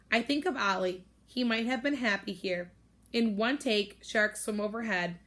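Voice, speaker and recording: A woman teacher reading a novel aloud to her students, with expressive narration. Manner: expressive, raises pitch for girls' voices. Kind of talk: storybook read-aloud with character voices.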